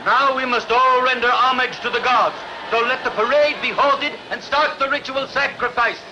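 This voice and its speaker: An actor playing a harsh, commanding lord in a dubbed ancient epic, with an imperious delivery. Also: male voice, very high voice, steady tone, normal speed, background noise. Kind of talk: dubbed historical epic film acting. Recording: music and crowd under film dialogue.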